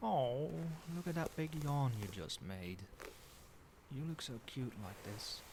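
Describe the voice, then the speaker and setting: A man playing a doting yet controlling, quietly menacing boyfriend in a soft-spoken ASMR roleplay, coaxing tenderly with pet names. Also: mid-range voice, unhurried. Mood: soothing, doting. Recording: steady background noise, night ambience. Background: rain and cricket sounds.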